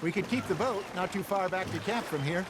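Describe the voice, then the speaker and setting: An actor playing a genial older American outlaw in a western game, with a wry, anecdotal way of talking. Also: male voice, high voice, a little fast, close to an everyday voice. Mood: easygoing. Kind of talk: cinematic western game acting. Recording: clear watery boat noise behind. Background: lapping water, boat sounds.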